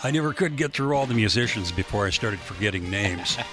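A man's voice: on the low side, lively intonation, fairly quick, music in the background. A man working as a radio announcer, chatting casually with a dry, joking manner.

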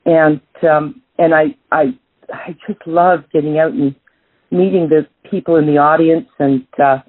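Canadian jazz singer lady, middle-aged, husky, lots of ums and restarts, phone-ish audio. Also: deep voice, steady tone, a little slow, dry recording. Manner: thoughtful, many restarts and fillers. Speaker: jazz singer, interview guest. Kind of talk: casual interview answers. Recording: tinny phone-like line.

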